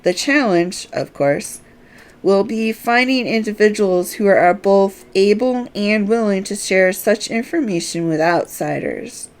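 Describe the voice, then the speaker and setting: A woman voicing a formal, scholarly strategist from a fantasy game, speaking in a measured, articulate way. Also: mid-range voice, expressive, close to an everyday voice. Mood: businesslike.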